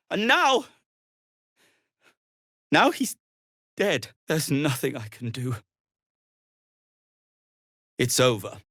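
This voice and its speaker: An actor playing an anxious, devoted gnome inventor in a video game, speaking in a hesitant, politely formal way. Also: male voice, fairly high pitch, fairly bright tone, no room sound, studio quality, heavily acted. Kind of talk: video game character acting.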